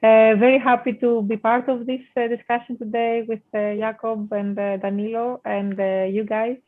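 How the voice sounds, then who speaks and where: A woman's voice: a little high, level tone, moderate pace. A woman from Greece, a designer joining an online panel over a video call, answering thoughtfully with frequent fillers. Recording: tinny phone-like audio over video call.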